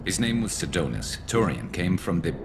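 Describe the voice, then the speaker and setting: A man's voice: low-pitched, very animated, moderate pace, noisy background. A man playing a vengeful, embittered alien soldier in a video game, clipped and grim, with a flanged voice effect. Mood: cold menace. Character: vengeful, embittered male alien soldier, weary and hard-edged. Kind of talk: video game dramatic dialogue.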